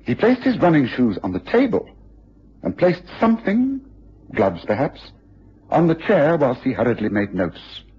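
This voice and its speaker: A keen detective in a radio drama, with a very expressive, slightly rough voice. He is deliberate, precise and in command. The recording is dull, like a radio broadcast. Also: mid-range voice, heavily acted. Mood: assured, deductive. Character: keen, composed male English detective, probing and authoritative.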